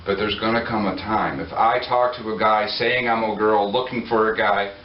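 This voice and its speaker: A man's voice: lowish voice, fairly even intonation, moderate pace, a little hiss or hum. A man preaching a sermon in a conversational way, joking and putting questions to his listeners. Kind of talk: sermon to a congregation. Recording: echoey room, far from mic, dull lo-fi.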